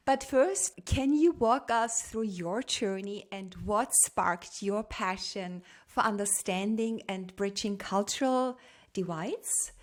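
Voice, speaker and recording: Woman host with formal, emphatic delivery. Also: fairly high pitch. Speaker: podcast host. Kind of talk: scripted intro and interview questions. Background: loud music under the intro.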